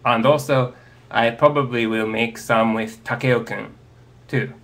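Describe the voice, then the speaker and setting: Guy in his 30s, japanese is his first language, talks real slow and halting, bright tone, earbud mic on a video call. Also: medium pitch, fairly even intonation. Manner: slow, hesitant, with many pauses and fillers. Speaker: interview guest. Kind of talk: video call chat answering audience questions. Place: home study, indoors. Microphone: earbud headset on a video call.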